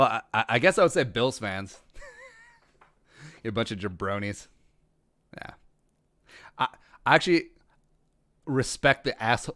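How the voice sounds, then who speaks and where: A man with a lowish, smooth and clear voice, recorded dry and close. He hosts a casual livestream Q&A, talking loosely with many restarts and a dry, self-aware humor. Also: bright, forceful tone, no room sound. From rural Minnesota. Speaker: podcast host answering live chat.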